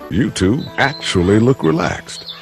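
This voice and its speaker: An actor playing a calm, guarded adult survivor in a video game, speaking in a measured, hesitant way. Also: male voice, medium pitch, creaky, soft background music. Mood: gentle, reserved. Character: calm, guarded adult man, former university teacher, survivor. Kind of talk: naturalistic video game acting.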